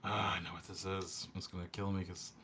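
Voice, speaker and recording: A man's voice: sits low, little pitch movement, a little fast, sharp tone, slight background noise. A man chatting casually as a panelist in a group conversation. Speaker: podcast panelist. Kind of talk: casual chat.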